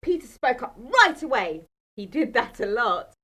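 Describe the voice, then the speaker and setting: A woman's voice: a little high, very animated, measured pace, bright, forceful tone. A woman telling a children's bedtime Bible story in a dramatic, emphatic manner. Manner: dramatic, emphatic, asks the listeners questions.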